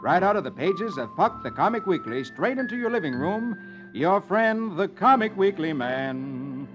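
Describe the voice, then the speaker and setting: An actor playing a jolly comics-reading friend to children, in a theatrical 1950s radio storytelling style. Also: male voice, high voice, normal speed. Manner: jolly, animated. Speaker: radio host and comics reader. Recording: lo-fi old radio, loud music behind.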